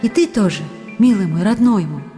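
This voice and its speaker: A woman's voice: mid-range voice, lively intonation, normal speed, warm tone, natural voice. An actress playing a young woman writing tender, longing love letters, read in an intimate, emotional way, with faint music behind. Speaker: letter reader. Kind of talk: radio drama letter reading. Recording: reverberant studio with faint music.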